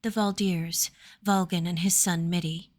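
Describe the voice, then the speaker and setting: A woman reads the part of a young noblewoman in a fantasy storybook. Her voice is soft and earnest, lifted a little in pitch, with a gentle, worried and principled temper. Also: steady tone, a little slow, natural voice. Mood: challenging.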